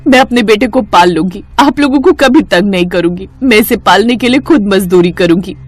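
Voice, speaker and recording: A woman's voice: medium pitch, a little fast, dry recording, close to an everyday voice. A woman voicing a devout, long-suffering young mother in a moral story, earnestly. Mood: pleading.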